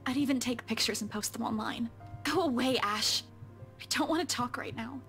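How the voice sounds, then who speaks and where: A woman voicing a sullen, feisty young woman in a visual novel game, exasperated and sharp-tongued but with some fondness. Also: a little high, moderate pace. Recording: game dialogue over background music. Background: music.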